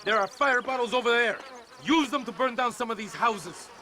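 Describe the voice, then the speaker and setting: An actor playing a sneering, hot-tempered Mexican army officer in a video game, mocking and barking orders in a Mexican accent. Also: male voice, very high voice, moderate pace, bright, forceful tone, a little hiss or hum. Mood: callous command. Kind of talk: video game cutscene acting.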